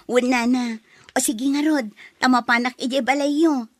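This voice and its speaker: A woman's voice: high-pitched, steady tone, a little slow, dry recording. An actress playing a cheerful young woman with an Ilocano accent who laughs often in a radio drama.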